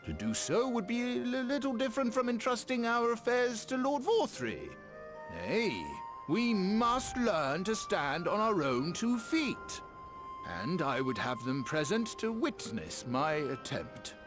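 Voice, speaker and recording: Nervous, earnest mayor, very animated. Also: male voice, high voice, measured pace, over music, exaggerated voice. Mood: earnest resolve. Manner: stammering, formal, oratorical. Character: nervous but earnest gentleman mayor, high-minded. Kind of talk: video game voice acting.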